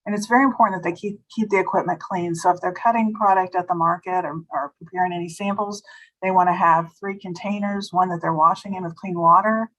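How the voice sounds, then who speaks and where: A woman giving a food safety presentation at a webinar, slightly hoarse and explaining step by step with small restarts. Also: fairly low pitch, steady tone, quick pace, a bit bright, muffled recording. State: slightly hoarse, losing her voice.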